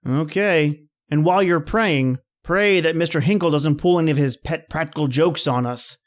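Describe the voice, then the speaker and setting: A man's voice: on the high side, moderate pace, lightly acted. A man playing a grumbling, slangy young fiancé in an old-time radio drama, with a wry, exasperated manner. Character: grumbling wisecracking young fiancé dreading his boss-lady's party. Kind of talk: 1930s radio drama acting.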